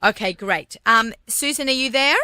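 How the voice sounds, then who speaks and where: A woman hosting a radio interview, upbeat and chatty with quick reactions. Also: fairly high pitch, pushed and bright, clean tone, dry recording. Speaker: radio show host.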